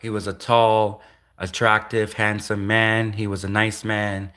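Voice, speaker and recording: Nasal, bright-toned man from Canada, casual livestreamer answering chat, repeats himself. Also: lowish voice, level tone. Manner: halting, repeats himself, restarts phrases.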